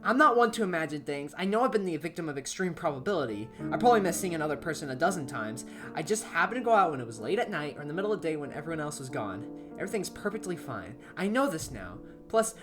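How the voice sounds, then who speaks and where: A woman narrates a horror story in an amateur, dramatic style. Her voice is low with very lively intonation, and soft music runs underneath.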